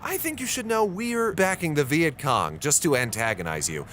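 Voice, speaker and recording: A man's voice: fairly high pitch, very animated, normal speed, a bit bright, a little hiss or hum. A man with an American accent playing an earnest, frustrated 1960s reporter who narrates a brooding audio diary in a radio drama. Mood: bitter, exasperated. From United States.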